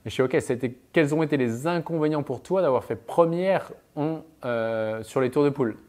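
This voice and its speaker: A man's voice: medium pitch, moderate pace, darkish tone. A man speaking as a mental performance coach, giving advice and anecdotes emphatically, with punchy little interjections that punctuate his points. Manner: emphatic, punctuates speech with sound-effect interjections.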